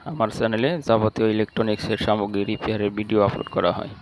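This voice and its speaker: A man's voice: fairly low pitch, completely flat delivery, very fast, noisy background. A man with a Bangladeshi accent narrating a step-by-step repair tutorial in a plain, instructional way. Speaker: electronics repair channel host.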